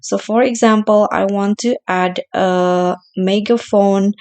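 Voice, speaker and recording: Woman presenting a step-by-step software tutorial. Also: mid-range voice, fairly even intonation, slow, smooth.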